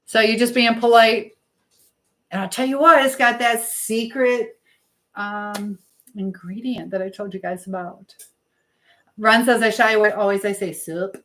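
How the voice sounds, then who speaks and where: A woman's voice: mid-range voice, steady tone, a little slow, fairly bright tone. Chatty American woman, casual livestream host. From Michigan, United States. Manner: chatty, jumps between topics mid-thought. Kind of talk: casual live chat, reading and answering viewer comments.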